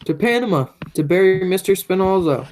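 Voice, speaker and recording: A woman's voice: mid-range voice, animated, a little fast, darkish tone, slight background noise, natural voice. A young woman playing a menacing, stubborn male criminal in an amateur radio play. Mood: cold, smug. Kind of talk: radio play acting, high school theatre.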